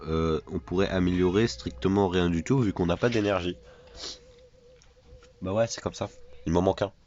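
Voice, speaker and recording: Deep-voiced man with a cold. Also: steady tone, normal speed, a little mellow, dull audio. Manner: chatty, self-mocking, repeats phrases. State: has a cold. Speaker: gaming YouTuber. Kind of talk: casual let's play commentary.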